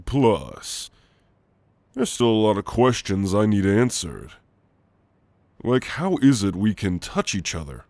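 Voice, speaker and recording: Man playing a deep, slow, gentle shadow demon. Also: big pitch swings, no echo, heavily acted. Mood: curious. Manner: soft, halting, playful, reassuring. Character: gentle, teasing male sleep paralysis shadow demon. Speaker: ASMR roleplay performer. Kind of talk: ASMR roleplay, intimate and slow. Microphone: close, dry microphone.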